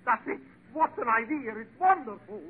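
An actor playing a brisk, pompous Hollywood film director in a 1940s radio comedy. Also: male voice, very high voice, expressive. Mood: excited. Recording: very noisy, tinny old radio recording.

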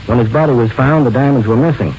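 An actor playing a calm, methodical police lieutenant in an old radio drama, measured and official, on a noisy recording. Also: male voice, mid-range voice, muffled recording, lightly acted. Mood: businesslike probing.

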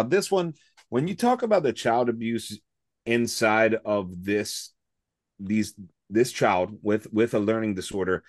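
A man in his forties with a slightly raspy voice, co-hosting a casual music podcast and talking enthusiastically, with lots of fillers and restarts. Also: lowish voice, dry recording, studio quality. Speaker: podcast co-host.

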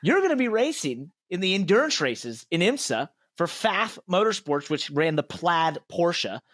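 A man's voice: fairly high pitch, big pitch swings, moderate pace, fairly bright tone, no room sound, studio quality. A man hosting a racing podcast, chatty and upbeat, laughing often as he asks questions. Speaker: podcast host and racing driver. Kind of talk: casual podcast chat.

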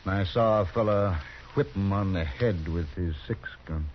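An actor playing a calm, quietly threatening outlaw boss on an old 1950s radio drama. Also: male voice, low-pitched, normal speed, lightly acted. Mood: menacing. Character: cool, menacing outlaw boss. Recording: dull hissy 1950s radio broadcast.

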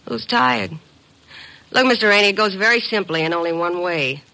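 A woman's voice: medium pitch, normal speed, vocal fry, sharp tone, low-quality recording. An actress playing a tough, cool female blackmailer with slangy, brassy, insistent talk in an old radio drama. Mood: hard, threatening. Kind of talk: 1950s radio drama acting. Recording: vintage radio broadcast.

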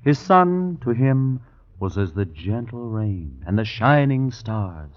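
A man's voice: lowish voice, big pitch swings, slow. A man playing a scheming, discontented young dreamer in an old-time radio drama, with stylized, theatrical, archaic speech. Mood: sly, declaiming. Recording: muffled old radio recording.

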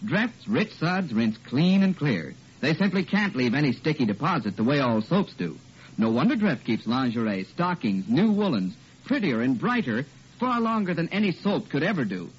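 A man's voice: a little high, sing-song, normal speed, slightly rough. Guy selling hard on old radio, very up-and-down delivery, bit muffled, music behind. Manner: emphatic salesmanship. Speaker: commercial announcer. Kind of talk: 1940s radio ad pitch.

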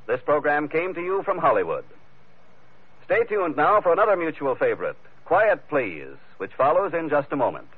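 Tinny, animated vintage radio announcer, a man. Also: medium pitch, breathy edge. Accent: American. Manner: formal, polished, measured phrasing. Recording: tinny vintage radio broadcast, music behind.

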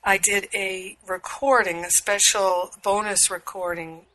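A woman hosting a spiritual radio talk, her voice husky and hoarse from a cold, speaking slowly with light laughs. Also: mid-range voice, fairly even intonation, pushed and bright, a little hiss or hum, rough recording. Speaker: radio show host and spiritual teacher.

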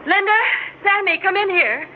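Wry housewife, American, old radio drama style, conversational, narrow tinny sound, clear background noise. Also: female voice, very high voice, normal speed. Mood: anxious. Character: suburban housewife and mother who senses the future, wry. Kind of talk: old-time radio drama acting and first-person narration. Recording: noisy, narrow-band vintage radio recording.

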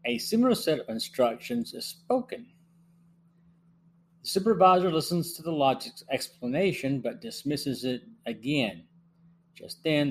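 A middle-aged man hosting a review podcast, reading scripted narration in a measured way. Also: medium pitch, slightly rough. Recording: faint hum and background noise.

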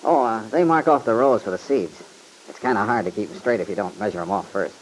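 Man acting an easygoing young American country fellow, fairly deep voice, folksy, bashful, old radio drama, faint recording noise. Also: fast talker, close to an everyday voice. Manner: folksy, slightly bashful, hesitant. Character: easygoing, modest young country man, war veteran who gardens. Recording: noisy vintage radio recording.

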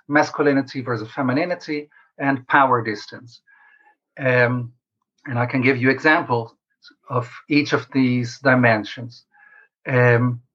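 Measured man explaining, slightly dull audio. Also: mid-range voice, fairly bright tone. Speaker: interviewed researcher. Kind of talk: explanatory interview answers.

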